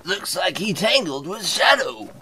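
A man's voice: high voice, big pitch swings, a little slow, heavy background noise. A man voicing a goofy, drawling cartoon animal sidekick in an amateur radio drama. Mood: playful.

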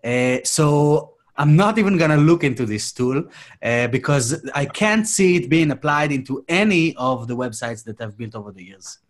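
Male panelist, thirties, Israeli accent. Average pitch, casual and somewhat halting delivery, headset on a video call. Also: expressive. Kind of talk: casual round-table discussion. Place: indoor room.

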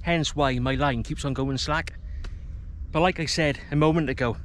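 Man in his thirties, casual fishing vlog, slightly high voice, outdoor seawall, faint background ambience. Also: moderate pace, slight background noise. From Wales. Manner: relaxed, chatty, commentating on his fishing as it happens. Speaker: fishing vlogger. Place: outdoors on a rocky seawall by wide water. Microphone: camera on a tripod at a short distance.

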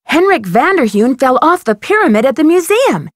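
A young woman playing an inquisitive, polite, persistent amateur detective in a video game, with a crisp, questioning delivery. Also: high-pitched, sing-song, normal speed, dry recording, clean studio sound. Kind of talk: video game dialogue acting.

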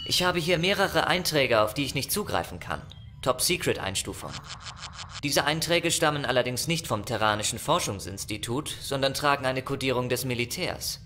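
A man's voice: medium pitch, animated, normal speed, sharp tone, slight background noise. A man playing a level-headed, practical starship technician in a sci-fi audio drama. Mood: focused.

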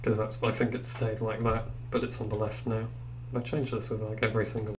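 A young man with a deep, low voice talks through a screen recording, thinking aloud with ums. His delivery is flat and unhurried. A steady hum and noise sit under the recording. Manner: hesitant, thinking aloud with fillers. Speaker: tech reviewer. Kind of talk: casual screen-recorded software review. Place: indoors at a computer. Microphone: desktop microphone.

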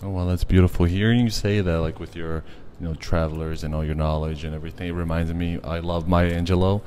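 Podcast host, man, very deep voice, gushing and casual, faint noise in the recording. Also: fairly even intonation, fast, a little mellow. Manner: enthusiastic, full of praise, says like and you know often. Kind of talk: interview conversation.

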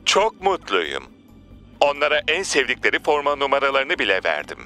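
An actor playing a proud, wealthy sheikh who owns a team in a cartoon dub, pompous and drawn-out. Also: male voice, mid-range voice, huge pitch swings, bright, forceful tone, faint music behind. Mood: self-satisfied. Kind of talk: Turkish animated cartoon dub.

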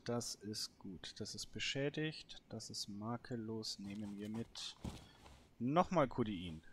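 Slow-paced man commenting on gameplay. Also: mid-range voice, slight background noise. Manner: thinking aloud in short, halting phrases. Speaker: host of a gameplay series. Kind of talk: casual let's play commentary.

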